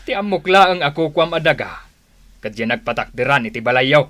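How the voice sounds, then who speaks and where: An actor with an Ilocano accent playing a greedy, scheming older brother in a radio drama, mocking and laughing. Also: male voice, mid-range voice, animated, fairly quick, a bit bright, natural voice. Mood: scornful. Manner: mocking, frequent sneering laughs.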